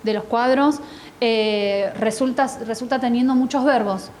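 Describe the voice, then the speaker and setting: A woman with an Argentine Spanish accent talks informally on a panel, stopping and restarting her sentences. Her voice sits somewhat high. A faint hiss of room noise runs under her. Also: unhurried, darkish tone. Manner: informal, hesitant with many restarts. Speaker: panelist translator. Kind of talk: panel discussion answer. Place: book fair hall.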